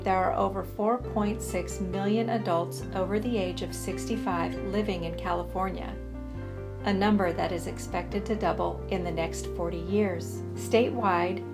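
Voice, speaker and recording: A woman reading scripted documentary narration in a measured, persuasive way. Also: on the low side. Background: music.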